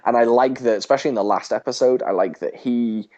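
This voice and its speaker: A man's voice: mid-range voice, quick pace, darkish tone, dull audio. A man co-hosting a podcast in casual chat, hesitant with many restarts and fillers. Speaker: podcast co-host.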